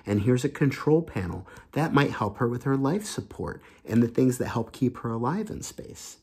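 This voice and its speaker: A man's voice: medium pitch, lively intonation, normal speed, a little mellow. A man in his thirties reading a children's book aloud and explaining it simply and expressively as a teacher. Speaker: children's storytime teacher and host. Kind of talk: storybook read-aloud with explanations for kids. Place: small indoor home room.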